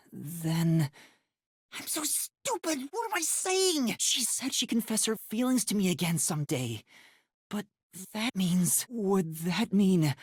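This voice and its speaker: An actor playing an earnest, self-doubting young amnesiac trainee detective in a video game, hesitant and easily flustered, with a husky, breathy voice. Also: medium pitch, no echo, studio quality. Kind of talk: English video game voice acting.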